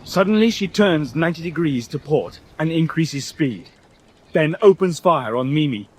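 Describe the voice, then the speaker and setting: A man's voice: on the high side, lively intonation, moderate pace, noisy background, natural voice. A man playing an eyewitness narrator describing action in a scripted radio drama. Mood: absorbed, vivid. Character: absorbed eyewitness narrator of a naval battle.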